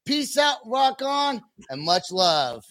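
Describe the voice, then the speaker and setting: A man's voice: very high-pitched, big pitch swings, slow, fairly bright tone, no room sound. An American man hosting a podcast in a casual, jokey way, brash and prone to swearing. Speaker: podcast host.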